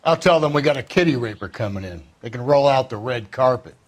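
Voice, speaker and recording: A man playing a cynical, blunt police detective in a crime game, with a gruff manner and an American accent. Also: mid-range voice, animated, fairly quick, close to an everyday voice. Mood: scornful. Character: crude, cynical, hard-boiled male police detective.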